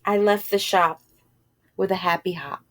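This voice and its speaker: A woman reading a picture book aloud in an expressive, animated way, with casual asides and drawn-out phrasing. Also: mid-range voice, background noise. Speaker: storybook reader.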